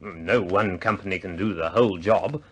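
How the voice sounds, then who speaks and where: A man's voice: sits low, normal speed, breathy edge, lo-fi sound. An actor playing an exasperated senior British official in a vintage radio drama, stammering and emphatic as he blusters.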